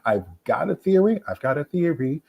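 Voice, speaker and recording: A man's voice: on the high side, very animated, normal speed, vocal fry, highs cut off, background noise. A man speaking casually as a panelist, giving his own opinion.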